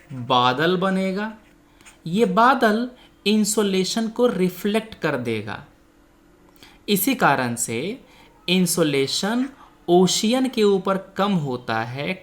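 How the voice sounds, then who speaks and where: A man's voice: a little high, a bit bright. A man teaching an exam coaching lesson, explaining didactically, mixing in English terms and often checking that learners follow. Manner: didactic, frequently checks understanding; mixes Hindi with English technical terms. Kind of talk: explanatory lecture with quiz questions.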